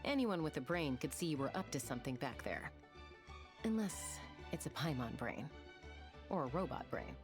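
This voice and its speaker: A woman's voice: sits low, big pitch swings, moderate pace. An actress playing a blunt, loyal, defiant young woman warrior in a video game, firm and direct. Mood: scornful. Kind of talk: scripted game dialogue. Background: game music.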